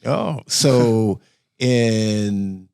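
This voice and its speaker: A man's voice: lowish voice, expressive, drawn-out words, creaky, darkish tone, clean tone, no room sound, clean studio sound. A man hosting a podcast, asking questions in a friendly, joking way. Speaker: podcast host. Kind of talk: casual interview hosting.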